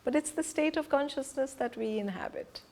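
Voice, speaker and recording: A woman's voice: sits high, moderate pace, darkish tone. Middle-aged woman from Punjab, Hindi speaker. Earnest, emphatic group presenter. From Punjab, India. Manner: earnest, emphatic, stresses key words. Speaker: presenter and teacher. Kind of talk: reflective talk to a group.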